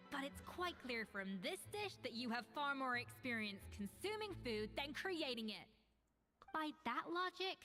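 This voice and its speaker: A proud, exacting young chef from an anime game. Her voice sits a little high and she speaks bluntly and crisply, like a strict judge. Soft music runs underneath. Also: big-room sound. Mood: blunt, critical. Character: exacting, proud young female master chef, sternly judging. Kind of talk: anime video game voice acting.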